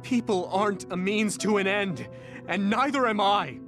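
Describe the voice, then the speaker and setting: A young actor voicing an earnest, indignant young hero in an anime-style video game, a principled and defiant younger brother. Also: male voice, sits high, normal speed, close to an everyday voice. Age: young adult. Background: dramatic background music.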